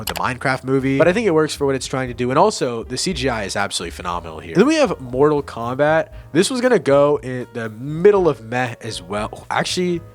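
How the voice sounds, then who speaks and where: Male video host, mid-range voice, informal and blunt opinionated commentary, music underneath. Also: mid-range voice. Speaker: video host and amateur film reviewer. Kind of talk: casual opinionated ranking commentary.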